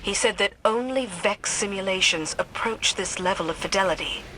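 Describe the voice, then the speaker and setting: An actress playing a resolute but weary military commander in a video game, brisk, serious and businesslike. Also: female voice, mid-range voice, sharp tone, slight background noise, close to an everyday voice, poor audio. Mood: frustrated. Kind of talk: video game cutscene acting.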